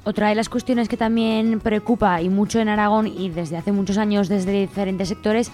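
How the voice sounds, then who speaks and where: A woman speaks Spanish with an accent from Spain at an average pitch. She leads a radio interview with conversational questions. There is light music in the background. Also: fairly even intonation, moderate pace, a little mellow.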